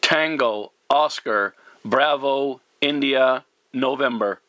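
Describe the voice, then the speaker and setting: A man speaks as a CB radio operator in a clear procedural style, courteous and deliberate. His voice is bright and forceful at a middling pitch. The sound is dry, with very little room around it. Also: no echo, poor audio. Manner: courteous, formal, deliberate repeating. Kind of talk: radio contact talk, spelling in phonetic alphabet.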